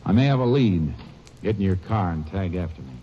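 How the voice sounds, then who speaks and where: An actor playing a terse, level-headed Texas Ranger, who also narrates, in a vintage radio drama. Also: male voice, deep voice, animated, fairly quick, heavy background noise. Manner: terse, clipped, no-nonsense. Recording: dull old radio recording with music.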